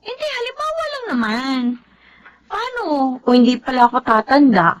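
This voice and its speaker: Very high-pitched, emotional Filipina best friend. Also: female voice, huge pitch swings, moderate pace, slight background noise, low-quality recording. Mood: playful, teasing. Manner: emotional, dramatic. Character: bubbly young woman, devoted best friend, stubborn in grief. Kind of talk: Filipino radio-style drama acting. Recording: loud dramatic music behind.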